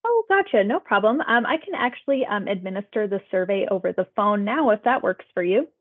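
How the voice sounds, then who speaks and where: A woman conducts a health survey over what sounds like a narrow phone line. Her voice sits at a medium pitch. She moves through scripted questions politely and conversationally, acknowledging answers as she goes. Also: expressive, a little fast. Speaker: public health phone interviewer. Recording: tinny phone-like audio.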